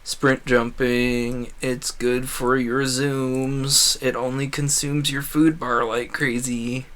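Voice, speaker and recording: A man's voice: medium pitch, level tone, fairly bright tone. A man chatting casually over gameplay as a livestreamer, often breaking off and rephrasing as he rambles. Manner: halting, self-correcting, trails off and rephrases. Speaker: livestreamer and video creator.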